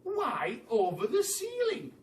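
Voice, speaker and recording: A man voicing small, cheeky, doubtful monkeys in a children's story, exclaiming in a high-pitched voice. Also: wildly swooping pitch, slow, heavily acted. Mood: puzzled, protesting. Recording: roomy, distant mic with faint noise.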